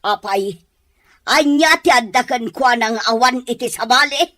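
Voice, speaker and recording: A woman's voice: a little high, moderate pace, fairly bright tone, no room sound, natural voice. A woman with a Filipino accent acting a bitter, disapproving middle-aged mother in an amateur audio drama. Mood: accusing.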